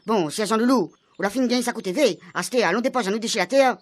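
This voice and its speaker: A woman's voice: fairly high pitch, quick pace, natural voice. A woman with a Réunion Creole accent voicing a hungry, blunt earthling buddy in a comic radio serial. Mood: exasperated. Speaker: narrator and character actor in a radio serial. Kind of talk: radio serial acting in Creole-flavoured French.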